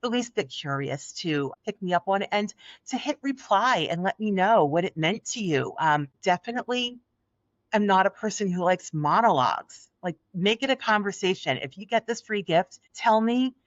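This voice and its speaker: A woman's voice: medium pitch, animated, moderate pace, no echo. Soothing Philly-accented woman, lo-fi sound. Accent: Philadelphia. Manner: earnest, encouraging. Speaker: guest. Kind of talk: podcast interview. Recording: dull, dry lo-fi audio.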